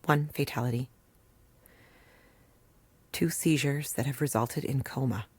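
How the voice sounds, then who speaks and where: A woman's voice: very deep voice, unhurried, husky, dry recording, exaggerated voice. A woman playing a weary, dogged rural doctor and mother in an audio drama, with a dry, blunt, wry delivery. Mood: sober, clinical. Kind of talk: naturalistic audio drama acting.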